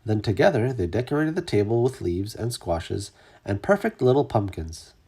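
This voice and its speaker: This is a storyteller reading a picture book to children. The delivery swings widely in pitch and leans into dramatic emphasis. The voice has a husky edge. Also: big pitch swings, moderate pace, mellow. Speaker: storybook reader. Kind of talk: read-aloud children's story narration.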